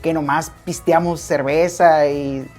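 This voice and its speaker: A man's voice: fairly high pitch, fairly even intonation, takes it slowly, darkish tone, soft background music. A man in his thirties with a Sonoran Mexican accent, speaking with stumbling restarts in a good-humored interview. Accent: Sonoran Mexican Spanish. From Mexico, Ciudad Obregón, Sonora. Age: 30s.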